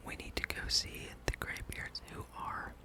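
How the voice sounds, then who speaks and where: A person whispering a relaxed ASMR gameplay commentary, breathy and rambling, with dry, wry asides. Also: moderate pace. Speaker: host of a gaming ASMR channel.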